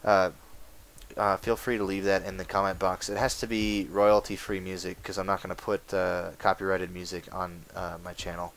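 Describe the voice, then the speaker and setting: A man talking casually over his gameplay footage, rambling with lots of fillers, his voice going hoarse. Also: low-pitched, level tone, normal speed, fairly bright tone. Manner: rambling, full of uh fillers, chatty. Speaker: gaming video maker. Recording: game music playing underneath. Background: game music.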